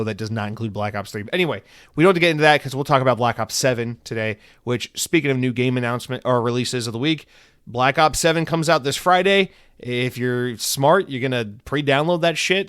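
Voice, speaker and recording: A man's voice: medium pitch, a bit bright, clean tone, no room sound, clean studio sound. A man in his thirties hosting a casual solo podcast, rambling and swearing loosely, tired and coming down with a head cold. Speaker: podcast host.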